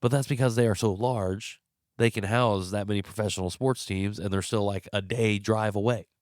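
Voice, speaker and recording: Congested low-voiced man hosting a podcast. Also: fairly even intonation, normal speed, a little mellow, dry recording, clean studio sound. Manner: rambling, frank, tired. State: stuffed up, congested. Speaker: podcast host. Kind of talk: casual solo commentary. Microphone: close podcast mic.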